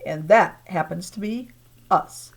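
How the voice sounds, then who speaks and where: A woman reading aloud as a sharp-tongued, fed-up mother who drinks, lines delivered loud and emphatic. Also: fairly low pitch, slow, breathy edge, full character voice. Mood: teasing.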